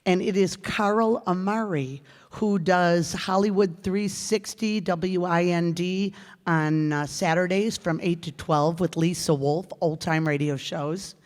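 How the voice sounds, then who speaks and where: A woman's voice: fairly low pitch, takes it slowly, darkish tone. An American woman, a theater director, making informal, enthusiastic and rambling announcements to a live audience. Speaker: director and actor in a radio theater group. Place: live performance hall. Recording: reverberant hall.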